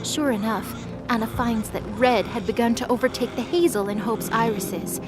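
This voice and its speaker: A woman with a fairly high voice narrates a dramatic story from a script. Music plays clearly behind her narration. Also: moderate pace, low-quality recording.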